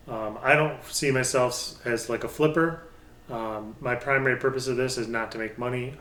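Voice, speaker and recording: Halting man in an echoey room. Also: medium pitch, a bit bright. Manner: halting, thinking aloud. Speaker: hobbyist speaker reviewer and channel host. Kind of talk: casual unscripted product talk. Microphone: pair of budget stereo microphones. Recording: echoey, roomy sound.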